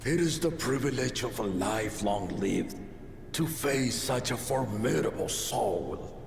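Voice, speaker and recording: An actor playing a huge, proud old warrior king in a video game, speaking in grand, measured declarations with a slow, gravelly voice. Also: mid-range voice, animated, background noise. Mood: commanding. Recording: roomy game audio with ambience.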